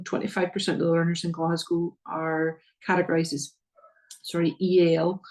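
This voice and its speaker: Earnest Northern Irish woman, flat delivery. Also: lowish voice, low-quality recording. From Northern Ireland. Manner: earnest, repeats words, frequent ums. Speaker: presenter at a parents' session. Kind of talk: slide presentation to parents.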